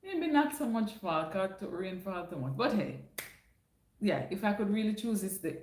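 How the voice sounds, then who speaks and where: A chatty Jamaican woman answers her viewers' questions in a relaxed livestream, slipping easily into patois turns of phrase. Her voice sits at an ordinary middle pitch for a woman. The room around her is echoey. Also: moderate pace. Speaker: livestream host answering chat. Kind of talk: casual livestream Q&A. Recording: roomy echo.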